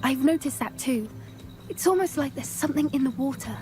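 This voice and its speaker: An actress playing a cautious, observant young witch in a video game, earnest and measured. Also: sits high, expressive, loud music behind the voice. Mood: puzzled. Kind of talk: video game cinematic acting.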